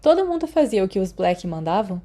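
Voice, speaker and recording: A Brazilian woman narrates fiction in a scripted reading. Her voice is mellow and dark, sitting in an ordinary middle pitch for a woman. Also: sing-song, fairly quick. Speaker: audiobook narrator.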